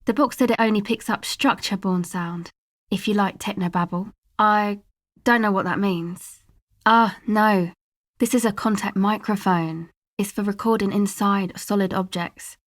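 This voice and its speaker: A woman playing a laid-back, sardonic young woman in a video game, speaking in a casual, slangy, deadpan way. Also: mid-range voice, normal speed, no room sound, clean studio sound, natural voice. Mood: matter-of-fact. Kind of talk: video game character dialogue.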